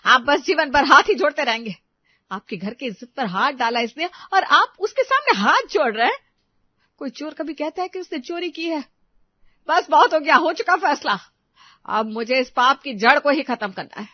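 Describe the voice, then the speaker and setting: A woman's voice: high-pitched, expressive, normal speed, dry recording, muffled recording, voice pushed up. A woman voicing a domineering, spiteful middle-aged mother-in-law in a melodramatic audio story, harsh and taunting. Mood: furious taunting.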